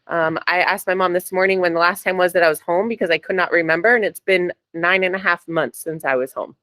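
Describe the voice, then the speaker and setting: Woman host, raspy this morning, chatty and upbeat, dry sound. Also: fairly low pitch, steady tone, fast, a bit bright, no room sound. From New Jersey. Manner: chatty, upbeat, frequent ums. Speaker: sales meeting host. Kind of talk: casual meeting announcements.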